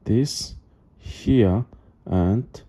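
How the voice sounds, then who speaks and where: A man who speaks English as a second language gives an unscripted step-by-step product demonstration, halting and repeating phrases as he goes. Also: on the low side, mellow. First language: not English.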